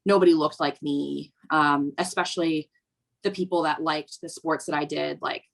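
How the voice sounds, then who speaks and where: A woman's voice: low-pitched, fairly even intonation, normal speed, gravelly. A young woman from Virginia, speaking reflectively in a documentary interview, with frequent "um" and "you know". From Virginia, USA. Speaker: snowboarder interviewee.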